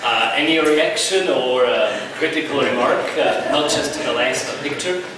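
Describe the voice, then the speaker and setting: A man with a Swiss accent, English not his first language, chairing a conference session in halting, filler-heavy speech. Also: medium pitch. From Switzerland. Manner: halting, many "uh" fillers, warm and earnest. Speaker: journal editor chairing a session. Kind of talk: conference panel remarks. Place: conference room. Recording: echoey hall, distant mic, noisy.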